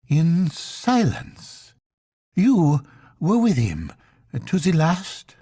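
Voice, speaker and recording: A man's voice: a little high, very animated, very slow, warm tone, breathy edge, dry recording, clean studio sound, pitched above the performer's own voice, full character voice. A man reading an audiobook voices a grieving young woman, the devoted fiancée of a dead man, in a pleading, trembling way. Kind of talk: audiobook reading of a literary novel.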